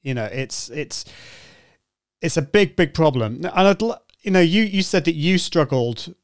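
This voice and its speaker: A man hosting a podcast interview, encouraging and a bit halting, often restarting his sentences. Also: fairly high pitch, lively intonation, smooth, no room sound, clean studio sound. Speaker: podcast host. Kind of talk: conversational interview.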